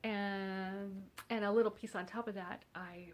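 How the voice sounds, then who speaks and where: A woman's voice: mid-range voice, steady tone, a little slow, a little hiss or hum. A woman vlogging casually at home, nasal from congestion, rambling along with a wry, self-mocking humor. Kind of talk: casual chat.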